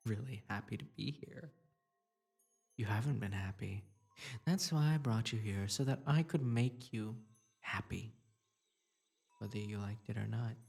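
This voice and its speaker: A possessive bunny spirit, played by a man, who coaxes in a slow, breathy murmur broken by unsettling giggles. The gravelly, husky voice swings between wounded pleading and sinister calm. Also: medium pitch, exaggerated voice. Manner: soft coaxing delivery broken by giggles and sudden outbursts. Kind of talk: audio roleplay drama.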